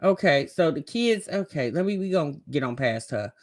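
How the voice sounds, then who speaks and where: A woman's voice: deep voice, moderate pace. Sassy american lady chatting casually as she reacts to a vlog, voice swinging up and down a lot. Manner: animated, sassy, conversational. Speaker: host reacting to a family vlog. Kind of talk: casual gossipy reaction commentary.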